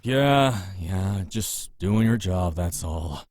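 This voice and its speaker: A man's voice: deep voice, expressive, takes it slowly, a little mellow. A man playing a flustered, blustering bluffer posing as a scientist in a visual novel, speaking emphatically. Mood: placating.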